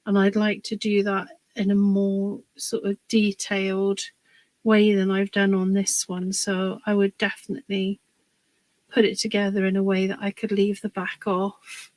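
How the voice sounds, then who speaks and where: A woman, slightly hoarse from a cold, chatting casually on a livestream while she works on a craft project, pausing with fillers. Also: mid-range voice, monotone, darkish tone, dry recording, poor audio. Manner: chatty, rambling, pauses with fillers while working.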